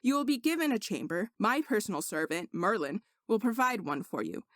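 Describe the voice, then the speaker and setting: A woman's voice: mid-range voice, big pitch swings, a little fast, fairly bright tone, no echo, clean studio sound. Woman reading as a blunt, imperious king. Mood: commanding, suspicious. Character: skeptical, commanding young king.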